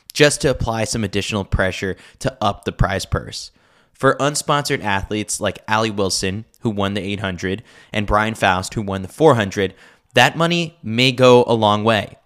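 A man's voice: lowish voice, a bit bright. An American man narrating a scripted sports newsletter as a podcast host.

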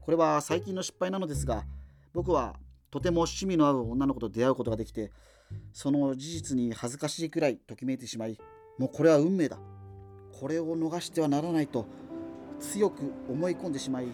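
A man's voice: a little high, a little fast, a little mellow, natural voice. An actor playing a rambling, opinionated, half-joking male host of a fictional subculture radio show. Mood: reading aloud. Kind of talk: radio drama as late-night talk show. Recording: music behind the voice. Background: music.

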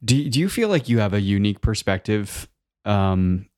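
Low-voiced man asking long questions. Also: big pitch swings, moderate pace, a little mellow, no echo, clean studio sound. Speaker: interviewer. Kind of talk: interview questions.

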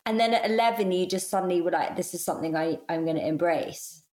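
A woman interviewing a guest in a casual conversation, asking gentle, attentive follow-up questions. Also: lowish voice, fast, echoey room.

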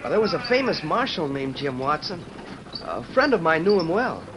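An earnest, polite lad with a high, lively voice. It sounds like a vintage radio western, with some noise behind it. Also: male voice, normal speed. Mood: earnest, concerned. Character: earnest, polite teenage boy. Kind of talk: old-time radio western acting. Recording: noisy old radio recording.

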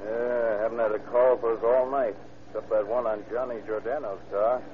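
A man's voice: mid-range voice, flat delivery, normal speed, lightly acted. An actor playing a jumpy, wisecracking patrol policeman in an old-time radio drama. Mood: casual. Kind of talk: 1930s-40s radio drama acting. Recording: tinny, very noisy old radio recording.

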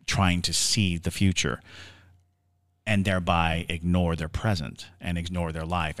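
A man co-hosting a podcast, explaining things conversationally in a halting, reflective way. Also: low-pitched, a bit bright. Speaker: podcast host. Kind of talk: conversational podcast discussion of the paranormal.

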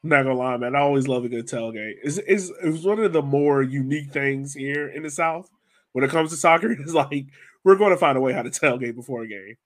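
A man's voice: mid-range voice, brisk, a bit bright. A man hosting a casual sports podcast, talking in an informal, colloquial, emphatic way. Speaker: podcast host.

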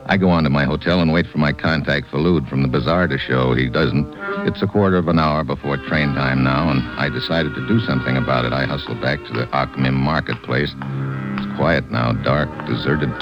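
A man's voice: rumbling low, fairly even intonation, moderate pace, lo-fi sound. A man playing a hardboiled American investigator in a vintage radio noir, with a terse, wry, informal manner. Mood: narrating, tense. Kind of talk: 1950s radio noir acting, first-person narration. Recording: vintage radio broadcast, music behind.

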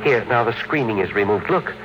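An actor playing a wistful, sentimental inventor in an old-time radio drama, musing and theatrical. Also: male voice, fairly low pitch, lively intonation, fairly quick, close to an everyday voice. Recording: muffled, very noisy vintage radio.

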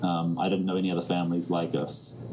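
A man from New Zealand talks things through slowly in a very low voice, often circling back to restart a thought. Faint ambient noise sits under the recording. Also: flat delivery. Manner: thoughtful, many restarts and fillers. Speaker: musician guest. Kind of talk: reflective interview talk.

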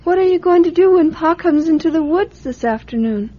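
Very high-voiced, earnest little girl. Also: animated, fairly quick. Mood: earnest, worried. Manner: earnest, questioning, simple childlike phrasing. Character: trusting, curious, imaginative nine-year-old girl. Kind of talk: radio drama acting. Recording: muffled radio drama recording.

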